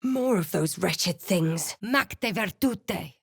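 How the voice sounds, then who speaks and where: A woman playing a wry, watchful fantasy adventurer hero in a video game, with a measured, dramatic delivery. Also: on the low side, animated, vocal fry, slightly rough, no echo, clean studio sound, full character voice. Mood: commanding incantation. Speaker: player character in a fantasy role-playing game. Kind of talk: video game voice lines and narration.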